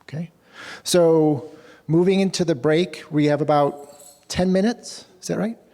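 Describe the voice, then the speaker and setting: Measured man speaking in a reverberant hall. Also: a little high, mellow. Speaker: program director. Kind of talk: guiding a workshop into a break. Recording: hall reverb from a room mic.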